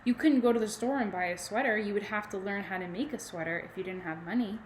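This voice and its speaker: A woman, a kindergarten teacher reading to children and adding chatty, animated explanations and questions in simple words. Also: mid-range voice, fast, slight background noise, rough recording. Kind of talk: children's read-aloud with chatty asides.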